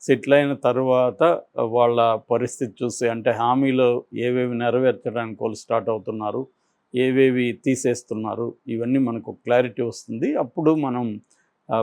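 Halting male political analyst, dry sound. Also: mid-range voice, steady tone, a little mellow, no echo. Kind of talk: unscripted political commentary.

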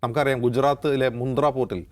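Man, Kerala accent, news panelist, very quick pace, mid-pitched, detailed explanatory discussion. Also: fairly even intonation, darkish tone. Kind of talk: explanatory current-affairs discussion.

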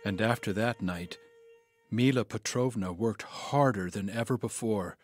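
An actor playing an earnest, polite young servant and storyteller in an audio drama. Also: male voice, fairly low pitch, measured pace, darkish tone, soft background music. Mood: narrating. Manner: deferential, storytelling. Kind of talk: audio drama acting and narration.

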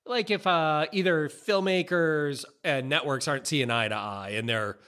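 A middle-aged man talking casually and haltingly on a podcast, indoors in a small office. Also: on the high side, sharp tone. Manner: halting, conversational.

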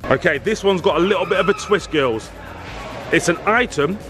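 A man's voice: sits high, moderate pace, over loud music. A man hosting a family vlog, calling out items and cheering on two girls in a supermarket. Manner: animated, laughing, shouting names and items. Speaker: vlog host filming a challenge. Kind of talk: excited family vlog commentary. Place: large supermarket, indoors.

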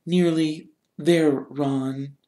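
A man reading an audiobook, voicing an earnest, clever schoolgirl in a high, sharp, shrill voice. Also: fairly even intonation, extremely slow.

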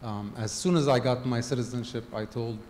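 A man's voice: medium pitch, darkish tone, a little hiss or hum. Middle-aged man speaking in a reverberant hall. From Gaza. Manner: halting, many ums and restarts. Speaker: conference speaker. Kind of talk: personal storytelling talk. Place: large hall with a stage. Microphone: handheld mic. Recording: long hall reverb, faint ambience.

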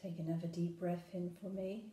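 A woman leading a guided meditation, speaking soothingly and gently in short phrases with long pauses. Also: lowish voice, level tone, reverberant.

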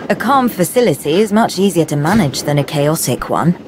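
An actress playing a composed, practical park adviser in a video game, measured and businesslike. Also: female voice, deep voice, animated, noisy background.

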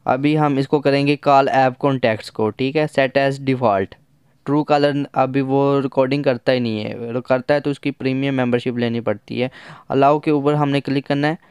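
Even-toned, clear man, tech tutorial. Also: mid-range voice, moderate pace, dry recording. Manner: conversational, instructional, mixes in English terms. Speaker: tech app reviewer. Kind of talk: casual hands-on phone app tutorial.

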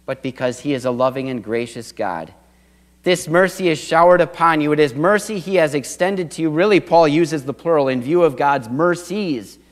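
A middle-aged man preaching a sermon from a church pulpit, earnest, with swells of emphasis. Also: fairly high pitch. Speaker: pastor. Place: church sanctuary at a wooden pulpit. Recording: long church reverb.

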